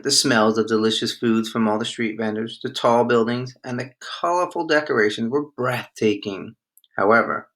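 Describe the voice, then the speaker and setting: A man reading a children's storybook aloud in an expressive storytelling manner. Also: medium pitch. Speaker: storybook reader.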